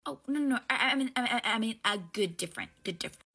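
A woman's voice: a little high, animated, fast talker, pushed and bright, slightly rough, heavily acted. A woman voicing an awkward, starstruck male party guest in a game roleplay who fawns and stammers. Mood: fawning. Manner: fawning, stammering.

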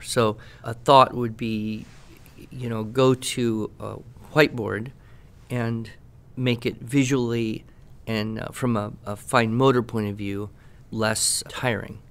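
A man in casual podcast talk, giving teaching advice in a measured, deliberate, dryly playful way. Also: mid-range voice. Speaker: podcast guest expert answering questions.

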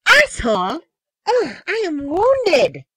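A man's voice: very high-pitched, very animated, a little slow, background noise, voice pushed up, exaggerated voice. A man voicing a smug, mocking racing rival in a narrated story, with a theatrical, sneering manner. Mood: mock hurt. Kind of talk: acted character in narrated fan story.

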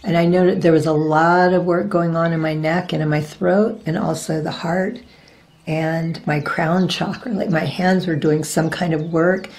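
A woman in her forties with a slightly raspy voice talks casually to camera as a reiki practitioner, rambling and often pausing to search for words. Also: deep voice, fairly even intonation, a little mellow. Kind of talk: casual spiritual vlog talk. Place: indoors, virtual blossom backdrop.

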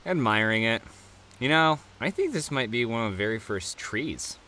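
A man's voice: mid-range voice, expressive, moderate pace, pushed and bright, a little hiss or hum, pitched below the performer's own voice. A man voicing an earnest, polite, dreamy frontiersman who loves trees, in an audio drama.

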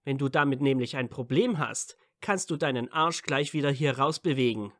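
A man's voice: medium pitch, moderate pace, a bit bright, no room sound, clean studio sound, lightly acted. A man acting a cheeky, snappy young wizard. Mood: defensive, angry. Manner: snappy, teasing. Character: defensive, cheeky young male wizard, quick-tempered. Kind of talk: fan audio drama acting.

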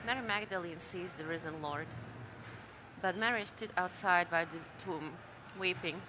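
A woman's voice: lowish voice, moderate pace, noisy background. Young woman from Slovakia reading scripture. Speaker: panelist.

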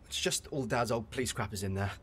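A man's voice: on the low side, fast, fairly bright tone, slightly rough. An actor playing a sensible, frank young British man, a loyal mate, in a naturalistic radio soap. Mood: exasperated. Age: young adult.

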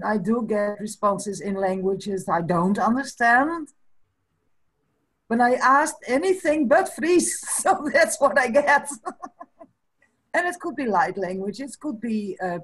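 A Dutch woman in her fifties whose first language is Frisian, talking slowly and thoughtfully in a casual chat over a webcam from a small room. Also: mid-range voice, low-quality recording. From Netherlands. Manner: slow and thoughtful, breaks into small laughs. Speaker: guest. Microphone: video call webcam.

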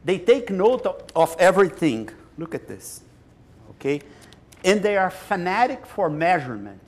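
A man in his sixties from Brazil with a Brazilian Portuguese accent, speaking on a conference panel in a slow, deliberate, emphatic way with pauses, on a lapel mic. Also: sits high, very animated. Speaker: panelist, chief scientist. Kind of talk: panel discussion. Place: conference stage.

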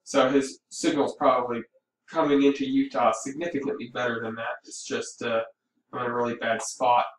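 A man giving a casual, explanatory software walkthrough as a hobby radio operator, thinking aloud as he goes. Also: medium pitch, level tone, moderate pace. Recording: roomy, distant mic with background noise.

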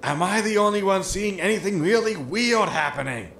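A man's voice: high-pitched, expressive, normal speed, a bit bright. An actor playing a grumbling, money-minded, sarcastic spaceship crewman in a sci-fi sitcom audio drama. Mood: alarmed. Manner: sarcastic, exasperated. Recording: roomy echo with noisy ambience.

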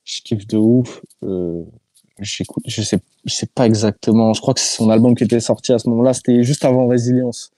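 French YouTuber guest, male, mellow dry voice over a video call, hesitant and slangy. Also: medium pitch, steady tone, a little fast, dry recording. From France. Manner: hesitant, many fillers and restarts, loose slang. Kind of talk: casual interview answers.

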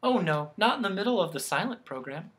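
A storyteller voicing a gentle, wistful female guardian of sounds who talks softly and at length in a storybook tale. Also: mid-range voice, expressive, fairly quick, voice pushed up, close to an everyday voice. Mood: wistful, airy. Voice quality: soft, gentle. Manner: talkative, sighing, savoring each phrase.

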